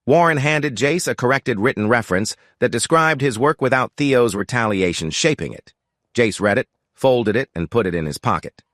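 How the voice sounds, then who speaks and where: A man narrating a first-person story in a measured, sober way. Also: medium pitch, lively intonation, a bit bright, no echo, clean studio sound.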